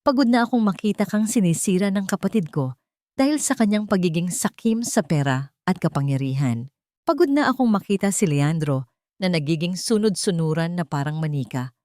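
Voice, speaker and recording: A woman with a Filipino accent voicing a thin, timid older woman confessing in an audiobook drama, soft-spoken and urgent. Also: lowish voice, lively intonation, a little fast, no room sound, studio quality, natural voice. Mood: weary, resolute. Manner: urgent, pleading.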